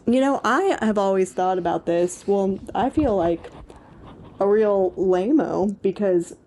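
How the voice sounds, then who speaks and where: A woman's voice: medium pitch, animated, measured pace, dark tone, slight background noise. A young woman chatting as a podcast co-host, informal and playfully emphatic in the way she talks. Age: young adult. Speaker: podcast co-host and PhD student. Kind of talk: casual podcast chat.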